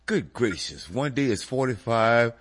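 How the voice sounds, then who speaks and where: A man with a stuffy, slightly nasal voice hosts a relaxed music radio chat. His pitch swings up and down, he speaks slowly, and his tone is a little husky. He talks straight to his listeners. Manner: animated, addresses listeners as folks. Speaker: radio show host.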